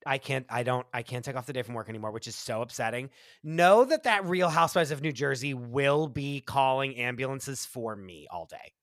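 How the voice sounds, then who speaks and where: A man co-hosting a comedy podcast in a dry, close-miked sound. He talks in an animated, theatrical way, full of fillers and quick asides, at a mid pitch. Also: no echo. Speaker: podcast co-host. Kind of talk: casual comedic chat.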